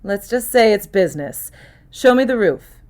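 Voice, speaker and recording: A woman's voice: mid-range voice, animated, normal speed, a little mellow, lightly acted. A woman voicing a tough, wry female detective in an audiobook, dry and matter-of-fact. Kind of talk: acted audiobook dialogue.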